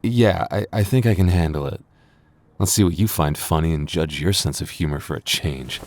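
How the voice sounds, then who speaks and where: A man's voice: extremely low pitch, moderate pace, darkish tone, no echo. A young man playing a defensive, secretly smitten tsundere boyfriend in an intimate ASMR roleplay, stammering and easily embarrassed. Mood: soft teasing.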